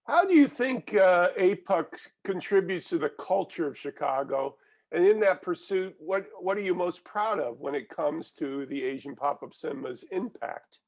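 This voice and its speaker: A man asks measured, formal interview questions over a video call. His voice sits a little high and has a gravelly, fry-laden edge. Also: lively intonation. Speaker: film critic interviewer.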